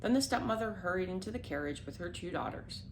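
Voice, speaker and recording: A woman's voice: on the low side, animated, quick pace, slight background noise, low-quality recording. A woman reading a children's storybook aloud as a storyteller, now and then stumbling and repeating a word. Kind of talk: scripted children's storybook narration.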